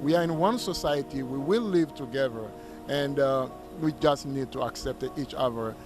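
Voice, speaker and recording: A man's voice: mid-range voice, moderate pace, music in the background. A man speaking earnestly and hesitantly in an interview. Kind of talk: recorded interview.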